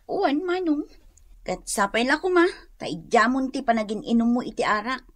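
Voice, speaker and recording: A woman's voice: on the high side, expressive, normal speed. An actress playing a self-assured, teasing young single woman in a comedy radio drama, speaking with an Ilocano accent. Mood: cool, scolding.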